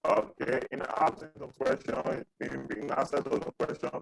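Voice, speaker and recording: A man in his thirties teaching an accounting class over a video call, repeating himself and pausing often. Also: mid-range voice, vocal fry, no echo, poor audio. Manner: repetitive, halting, asks for questions. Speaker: accounting lecturer. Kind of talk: online class lecture. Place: small indoor room. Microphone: webcam video call with earphones.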